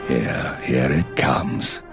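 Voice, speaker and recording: An actor playing a stern, commanding intelligence chief in a sci-fi game, speaking with grave, clipped authority. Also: male voice, extremely low pitch, very slow, gravelly, music in the background, exaggerated voice. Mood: tense, angry. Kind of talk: video game voice acting.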